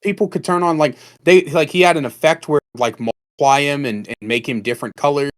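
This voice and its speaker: A man's voice: fairly high pitch, fairly even intonation, brisk. A man in casual podcast conversation, blunt and sweary, given to emphatic exaggeration. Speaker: podcast participant.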